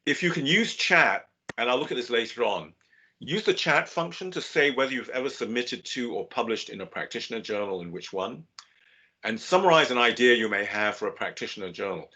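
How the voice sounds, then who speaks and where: An older man, a business professor, giving a measured webinar lecture with occasional fillers and restarts. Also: mid-range voice, animated, bright, forceful tone, breathy edge. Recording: dull lo-fi webinar audio.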